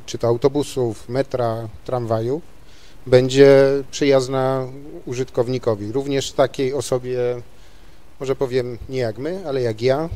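A man talks on stage at a panel discussion, his voice mellow and dark. He speaks in a measured, articulate way and pauses on small fillers as he builds his point. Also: medium pitch. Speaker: panelist, automotive journalist and vehicle designer. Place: large university lecture hall.

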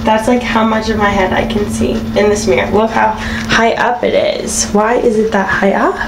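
A woman's voice: medium pitch, flat delivery, normal speed, noisy background. A young woman in her twenties chatting upbeat to a handheld camera on a road trip vlog. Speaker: vlogger. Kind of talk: casual travel vlog. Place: indoors and outdoors on a road trip.

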